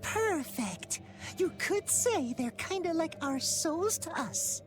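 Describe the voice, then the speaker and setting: Squeaky, cutesy little talking cat. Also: female voice, expressive, takes it slowly, faint music behind, full character voice. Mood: perky, chatty. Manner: cutesy, perky, cat puns and meows. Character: small cute female cat spirit creature, chatty, pleading. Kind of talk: cartoonish video game voice acting.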